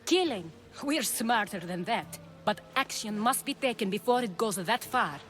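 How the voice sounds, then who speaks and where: An actress playing a self-assured, shrewd leader of ancient Greek courtesans in a video game, speaking in a measured, formal way. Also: female voice, medium pitch, animated, a bit bright, lightly acted. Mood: determined. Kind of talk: video game cutscene acting. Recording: music behind the dialogue.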